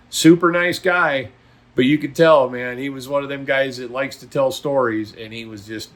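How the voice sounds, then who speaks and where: A man's voice: medium pitch, fairly bright tone. A middle-aged or older man, a trucker talking casually to viewers in a blunt, folksy, opinionated and emphatic way. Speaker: trucker and video blogger. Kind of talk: casual vlog monologue.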